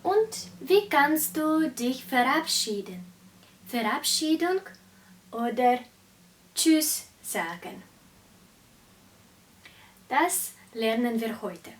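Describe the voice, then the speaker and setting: Slow high-voiced teacher. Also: female voice, big pitch swings, distant mic, slight background noise. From Russia. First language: Russian. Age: 20s. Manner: slow, carefully articulated teaching delivery. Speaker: German teacher. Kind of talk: beginner language lesson.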